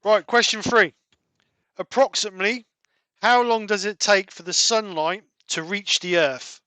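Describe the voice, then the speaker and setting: A man's voice: high voice, fairly even intonation, a little slow, sharp tone. A man hosting an online quiz, reading out questions and teasing the players in an upbeat manner. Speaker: quizmaster.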